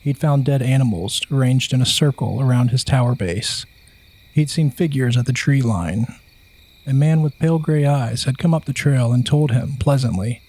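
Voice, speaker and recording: A man reading scripted horror story narration in a husky, measured and solemn voice. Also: medium pitch, a little mellow, low-quality recording.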